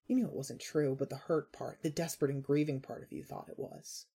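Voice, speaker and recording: A woman reading scripted fiction narration in a measured, dramatic storytelling manner. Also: low voice, fairly even intonation, warm tone, slightly rough.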